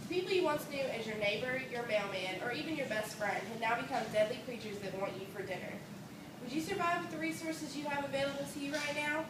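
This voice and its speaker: A woman with a fairly high voice gives a prepared, earnest speech, moving through her points in an orderly way. She is heard from a distance in a roomy space, with clear noise on the phone recording.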